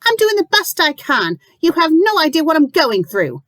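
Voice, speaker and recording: A woman voicing a grieving young widowed mother who pleads in a high, strained voice. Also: normal speed, dry recording, lightly acted. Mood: indignant.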